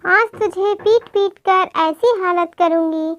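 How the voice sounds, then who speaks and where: A female kite bird from a cartoon story talks in a very high, girlish voice. She is a long-suffering wife, aggrieved and scolding. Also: takes it slowly, fairly bright tone, highs cut off, slight background noise, full character voice. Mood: angry scolding. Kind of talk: Hindi cartoon story dialogue.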